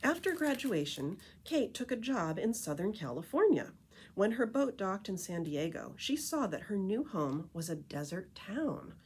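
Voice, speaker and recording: A woman reading a children's picture book aloud as a storyteller, with expressive, deliberate emphasis on key phrases. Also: medium pitch, a little hiss or hum.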